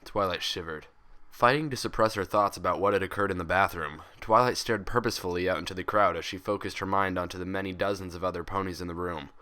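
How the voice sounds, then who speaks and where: A man reading a story aloud in an expressive, scripted narration style. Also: low-pitched, fast talker. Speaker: fan fiction reader.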